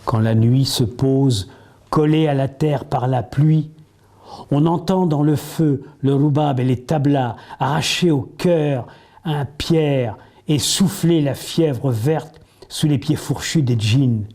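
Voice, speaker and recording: A man recites verse solemnly in a medium-pitched, dark and relaxed voice. He moves very slowly and deliberately, setting each phrase apart with a pause. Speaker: poetry reader.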